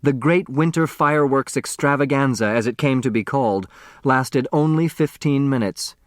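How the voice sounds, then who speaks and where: A man's voice: medium pitch, normal speed, no echo, clean studio sound. A man narrating a story from a script, in the dramatic style of an audiobook.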